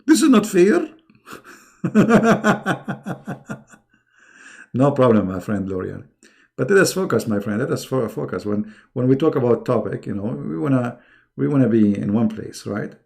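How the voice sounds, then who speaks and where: A man's voice: medium pitch, sing-song, measured pace, gravelly, long pauses, a little mellow. A middle-aged man who speaks English as a second language hosts a live online debate in a mocking, emphatic, sarcastic way. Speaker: debate host.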